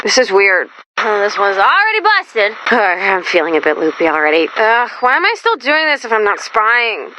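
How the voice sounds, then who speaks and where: A young female robot sidekick from a video game, with a high, girlish voice that leaps around constantly. She is perky but anxious, rambling in an excitable, theatrical way. There is slight noise with clicks on the recording. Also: full character voice, poor audio. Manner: excitable, rambling, theatrical, swinging into hushed asides. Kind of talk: animated video game character voice lines.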